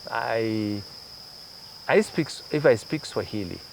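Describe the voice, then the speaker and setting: A man's voice: fairly low pitch, animated, slow, vocal fry, a little hiss or hum. Kenyan man explaining ideas thoughtfully. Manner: thoughtful, explains with examples and asides. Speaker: guest journalist. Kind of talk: conversational interview.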